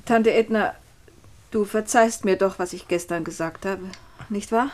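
An actress playing an apologetic, deferential niece in a German radio play. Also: female voice, medium pitch, measured pace, a little mellow, lightly acted. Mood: pleading, contrite. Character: contrite niece, pleading with her aunt.

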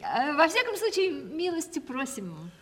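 An actress in a radio play voicing an aging provincial gentlewoman, gracious and self-justifying, with theatrical, affected, effusive delivery. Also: high-pitched, wildly swooping pitch, unhurried, slight background noise, heavily acted. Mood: gracious, fussy. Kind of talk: classic Russian stage comedy radio acting. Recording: 1970s radio play, reverberant hall.

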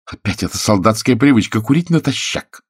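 Casting: male narrator as a theatrical, spiteful woman of the stage, raised pitch, lively swings, audiobook dialogue. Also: fairly quick, no echo, studio quality. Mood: furious. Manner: spiteful, ironic, melodramatic. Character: haughty, shrill actress woman, venomous and theatrical.

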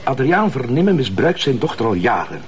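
A man's voice: medium pitch, very animated, moderate pace, slight background noise, close to an everyday voice. A man with a Flemish accent playing a probing, sceptical police detective in a crime drama. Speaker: actor.

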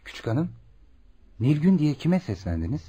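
A courteous male hospital doctor in a radio drama. His voice moves expressively up and down with a slightly rough edge. He questions and explains politely and formally. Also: on the high side, sing-song, normal speed, warm tone. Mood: businesslike. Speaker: actor. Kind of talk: Turkish radio theatre acting.

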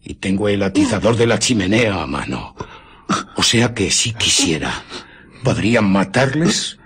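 A man's voice: lowish voice, sing-song, a little slow, a bit bright, slightly rough, full character voice. An actor playing a menacing invisible man in a radio drama, cold, commanding and mockingly polite. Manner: threatening, commanding, mock-polite.

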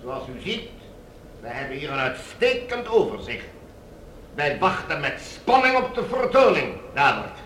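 A smug villain ruling a Mars colony, in a high, sharp voice. He is mockingly polite and commanding, in radio drama style. The room rings with echo. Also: sing-song, normal speed, a little hiss or hum. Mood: smug, menacing.

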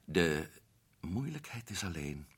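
A man's voice: low-pitched, sing-song, slow pace, breathy edge, full character voice. An actor playing an adventurous man back from Africa, telling his story earnestly and urgently in a radio drama. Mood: wistful. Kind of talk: 1960s radio drama acting.

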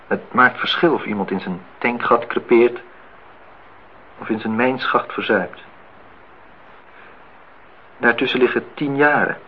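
An actor playing a disillusioned, reflective man in his thirties in a Dutch radio play, speaking in measured, philosophical phrases. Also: medium pitch, natural voice. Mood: somber, musing. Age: 30s. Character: disillusioned, reflective man musing on truth and love. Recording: dull, noisy old radio play recording.

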